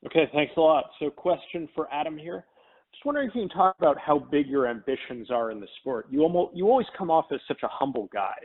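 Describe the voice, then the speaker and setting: A man's voice: fairly high pitch, animated, fast talker. A male reporter politely putting questions at a press conference over a telephone. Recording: crackly narrow phone line.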